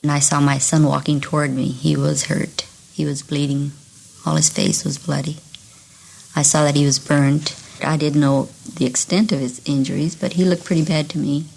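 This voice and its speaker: A woman with a low, gravelly voice recounts her memories evenly, with little pitch movement. It is a 1950s radio interview recording. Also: little pitch movement, moderate pace, darkish tone, low-quality recording. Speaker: interviewed mother. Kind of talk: recollection in interview. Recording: 1950s radio tape, faint music.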